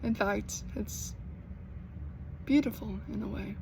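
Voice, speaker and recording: A young American woman who stutters, with repeated sounds and blocks, talking slowly and earnestly in a personal vlog. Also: mid-range voice, gravelly, background noise. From United States. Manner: slow, earnest, gently encouraging. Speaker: vlogger.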